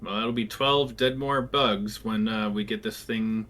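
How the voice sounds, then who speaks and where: A man's voice: fairly low pitch, steady tone, unhurried, a bit bright. A man playing a tabletop game, speaking steadily as his crew's captain. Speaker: player. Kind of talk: casual game talk.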